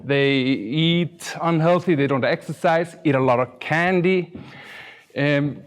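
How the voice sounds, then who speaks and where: A middle-aged man with an Icelandic accent speaks in a fairly high voice for a man. He presents informally as a conference speaker, hesitating often, with a dry, self-deprecating humour. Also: slow pace. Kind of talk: informal conference talk with slides.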